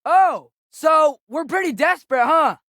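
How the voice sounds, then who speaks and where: A man's voice: extremely high pitch, lively intonation, a little slow, fairly bright tone, dry recording, clean studio sound, full character voice. A young male actor playing an earnest, restless teenage boy adventurer with an American accent in a video game. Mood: urgent, desperate. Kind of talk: video game character dialogue.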